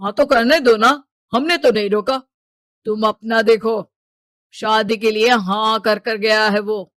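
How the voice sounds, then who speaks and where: A woman's voice: on the high side, fairly even intonation, measured pace, fairly bright tone, no room sound. Woman acting stern, commanding wealthy father. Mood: dismissive. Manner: commanding, dismissive. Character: stern, status-proud wealthy father of a grown daughter. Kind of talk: cartoon story dialogue.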